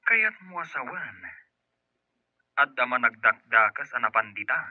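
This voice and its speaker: A man's voice: medium pitch, very animated, unhurried, dry recording. An actor playing a suspicious, mocking man with a confrontational, sneering manner on the phone in an Ilocano radio drama. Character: suspicious, mocking male relative of the boss. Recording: dull telephone-call sound.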